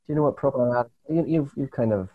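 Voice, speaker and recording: A man hosting a casual health talk show over a video call, his voice slightly raspy from a cold, talking earnestly with many restarts. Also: mid-range voice, fast, phone-quality sound. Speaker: host. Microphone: remote video call.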